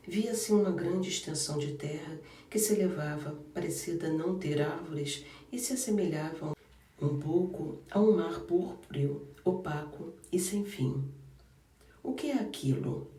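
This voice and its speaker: A man narrates a classic novel in a calm, measured audiobook style. His voice sits a bit high, with a mellow tone. The recording is roomy and somewhat distant from the mic. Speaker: audiobook reader.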